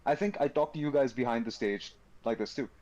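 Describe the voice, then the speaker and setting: A man's voice: mid-range voice, a little fast, a little mellow, muffled recording. A man from Kerala with a Bangalore accent, a caster speaking earnestly on a gaming panel discussion. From Kerala, India.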